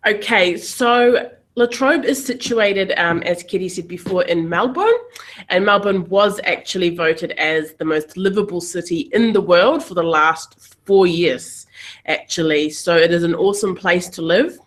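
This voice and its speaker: A woman's voice: lowish voice, unhurried. A woman, a university recruitment coordinator giving a friendly webinar presentation over a video call. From New Zealand.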